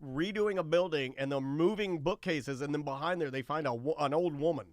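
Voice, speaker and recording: Higher-voiced man telling stories, very dry sound. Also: a little fast, dry recording. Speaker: talk show co-host. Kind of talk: casual radio banter.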